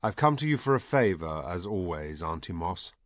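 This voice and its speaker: A man voicing a kindly, grave old wizard in an audiobook, speaking gently and with wry understatement. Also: deep voice, very animated, moderate pace, no room sound, studio quality. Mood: grave, soft. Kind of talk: audiobook character voice.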